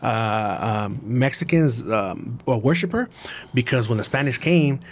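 A man's voice: medium pitch, expressive, measured pace. A man with a Chicano accent in a casual podcast chat, using slangy English mixed with Spanish and some swearing, with noise behind him.

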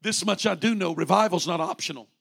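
High-voiced man preaching fervently. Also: a little fast, vocal fry, a bit bright, slightly rough. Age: 40s. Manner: fervent preaching with rising repeated refrains. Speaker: pastor. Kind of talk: sermon.

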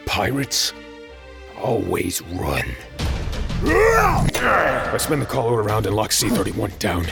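An actor playing a desperate, sardonic space pirate on the run, narrating his own audio drama in dramatic turns from hush to yell. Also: fairly low pitch, huge pitch swings, slow, vocal fry, long pauses, heavily acted. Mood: defiant, urgent. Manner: dramatic, breathless shifts from hushed to yelling. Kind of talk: first-person audio drama narration and dialogue. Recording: loud music bed behind voice.

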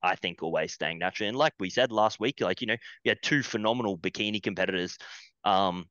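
A man on a podcast speaking in a measured, hesitant way with fillers. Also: on the low side, a bit bright, clean tone, no room sound, highs cut off. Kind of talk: casual podcast chat.

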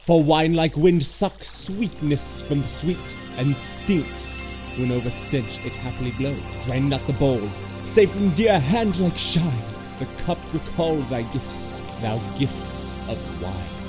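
A man's voice: on the high side, animated, slow pace. Man playing a theatrical, swooping young porter. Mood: tipsy, poetic. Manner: theatrical, declamatory. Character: handsome young porter, eager, flowery-tongued, tipsy charmer. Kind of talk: audio drama verse recitation. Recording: music under the voice.

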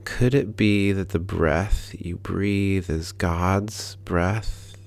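A man leading a guided prayer meditation in a calm, gentle, unhurried manner with pauses. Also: sits low, gravelly. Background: background music.

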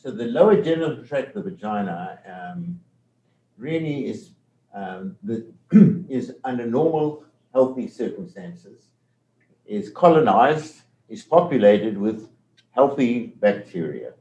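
An older man with a South African accent, a medical professor explaining things in an interview with measured, careful talk and frequent restarts. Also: mid-range voice, sing-song, mellow, reverberant, dull audio.

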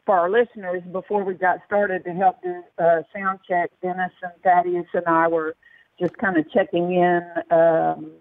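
Gravel-voiced woman hosting over the phone. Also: fairly low pitch, level tone, moderate pace, no room sound. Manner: chatty, hesitant with fillers. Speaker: radio show host. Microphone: phone line. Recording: tinny dry phone line.

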